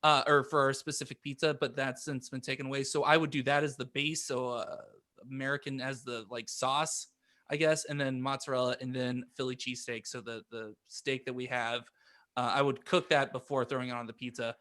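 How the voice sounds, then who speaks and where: A man's voice: medium pitch, little pitch movement, fairly quick, pushed and bright. A man chatting casually as a podcast co-host, rambling and hesitant as he circles back on what he says. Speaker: pizza shop worker. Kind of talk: casual group chat.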